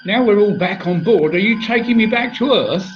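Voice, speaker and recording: An actor playing an irate busybody in a comic radio play, spluttering belligerent complaints. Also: male voice, high voice, expressive, moderate pace, echoey room, dull audio, soft background music. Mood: outraged. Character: shouty, irate, indignant English male busybody.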